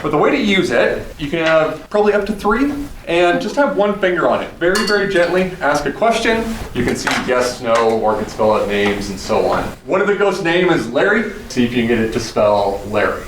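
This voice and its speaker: A man giving a class instructions in an old university building, in a relaxed, explanatory way with dry jokes. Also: a little high, fairly quick. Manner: relaxed, explanatory, dryly joking. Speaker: psychology instructor. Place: old university hallway, indoors. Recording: echoey hallway with noise.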